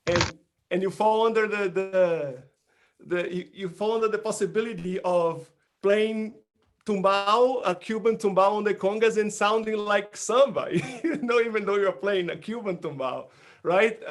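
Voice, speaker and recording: Male panelist, high voice, stops and restarts a lot. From outside Brazil. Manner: halting, repeats words. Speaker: panelist percussionist. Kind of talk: panel discussion.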